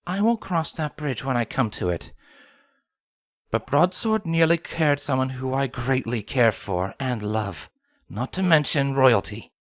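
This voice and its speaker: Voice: medium pitch, animated, dry recording, studio quality, close to an everyday voice. Composed, regal pony sun princess , acted out. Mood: quiet resolve. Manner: measured, formal, solemn. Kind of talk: dramatic fan fiction audio reading.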